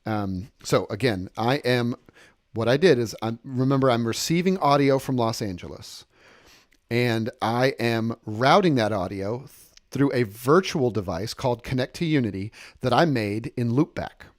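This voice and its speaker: Male tutorial presenter, mid-pitched, informal, methodical step-by-step explainer who restates key points; dry studio-like sound. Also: expressive, moderate pace, dry recording. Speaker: software instructor and demonstrator. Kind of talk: informal screen-share software tutorial.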